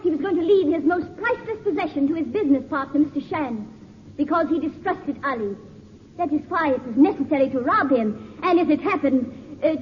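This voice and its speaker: An actress playing a cold, ruthless murderess in a 1940s radio drama, calmly formal and imperious. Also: female voice, high voice. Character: cold, ruthless murderess threatening her captives. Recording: muffled noisy 1940s radio recording, reverberant.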